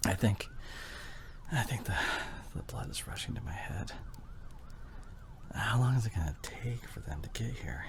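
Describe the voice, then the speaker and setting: A man playing an injured, fading husband and father in an emotional ASMR audio drama, tender and intimate, with a breathy voice that often drops toward a whisper. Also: fairly low pitch, expressive, normal speed, pauses often, a little hiss or hum. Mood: weak, wistful. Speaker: audio roleplay voice actor.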